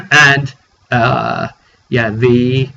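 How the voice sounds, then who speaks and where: A man casually telling the story of a tabletop roleplay session as game master, in an animated way with repetitions and slang. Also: medium pitch, extremely slow, gravelly. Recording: dull lo-fi mic with background noise.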